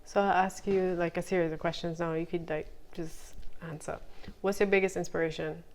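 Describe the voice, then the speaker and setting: A woman with a Barbadian accent hosting a casual interview, relaxed, quick to laugh, and adding short affirmations. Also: on the low side. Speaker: interview host.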